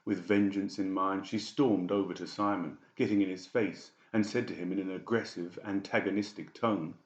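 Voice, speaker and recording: Casting: male audiobook narrator, very low voice, echoey and slightly muffled recording. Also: steady tone, moderate pace. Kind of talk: scripted fiction narration. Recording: dull, echoey room recording.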